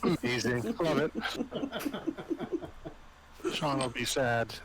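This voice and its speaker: A man's voice: medium pitch, normal speed, a loud crowd, poor audio. A man playing in a tabletop role-playing game, chatting casually with the group. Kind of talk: casual chat during online game.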